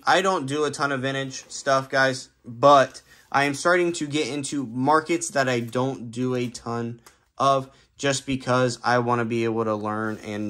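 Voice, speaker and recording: A young man hosting a sports card channel, briskly running through his card pickups with frequent fillers, filmed at a table indoors. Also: medium pitch, fairly bright tone. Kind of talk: casual card pickup rundown. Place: indoor room, cards on a table.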